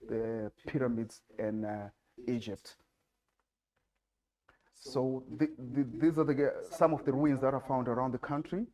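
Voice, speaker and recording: A man from Zimbabwe giving a design talk with slides in a lecture hall, speaking haltingly with many fillers and restarts. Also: medium pitch, darkish tone. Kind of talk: presentation with slides.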